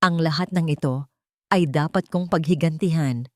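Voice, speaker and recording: A woman voicing a wounded young wife who speaks quietly and coldly in a storybook. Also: low voice, normal speed, dry recording, clean studio sound, close to an everyday voice. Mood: bitter. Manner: quiet and cold. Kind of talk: storybook narration.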